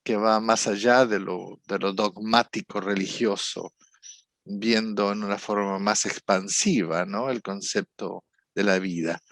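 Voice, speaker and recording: A man's voice: lowish voice, fairly even intonation, unhurried, creaky, a bit bright, no room sound. A middle-aged man, speaking as an interview guest in a thoughtful, rambling way and often ending phrases with a questioning tag. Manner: thoughtful, rambling, frequent "¿no?" tags. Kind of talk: reflective conversational interview.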